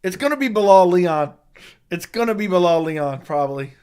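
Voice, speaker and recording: A young man hosting a casual fight-sports livestream chat, hoarse from losing his voice, excitable and quick to swear as he reacts to news. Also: sits high. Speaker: MMA YouTube host.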